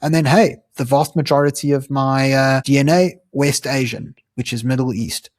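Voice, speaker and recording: A man's voice: mid-range voice, steady tone, moderate pace, no room sound. A South African man hosting his own channel, explaining things earnestly in a conversational way, with frequent ums and uhs. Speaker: channel host. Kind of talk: explanatory commentary to camera.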